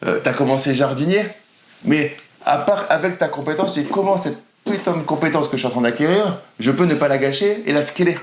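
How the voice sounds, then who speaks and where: A man's voice: on the high side, a little fast. A man hosting a casual podcast interview, asking rambling and hesitant questions with frequent stammers. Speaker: podcast host. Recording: roomy echo.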